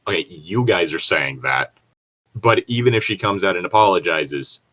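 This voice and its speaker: A man's voice: sits low, lively intonation, moderate pace, pushed and bright. A man in casual, opinionated conversation, talking bluntly and swearing heavily.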